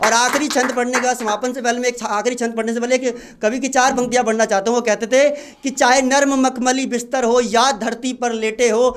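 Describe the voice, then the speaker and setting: A man's voice: very high voice, little pitch movement, brisk, few pauses, a bit bright, slight background noise. A man reciting patriotic poetry at an open mic, declaiming and repeating lines for emphasis. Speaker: poet.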